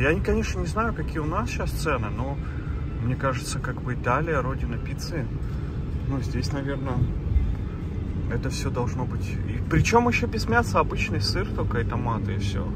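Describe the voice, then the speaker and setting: A man from Kazakhstan casually narrating a travel vlog, chatty and talking to his viewers as friends while walking streets and driving. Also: medium pitch. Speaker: travel vlogger. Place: outdoors in narrow town streets and in a car. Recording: outdoor noise in background.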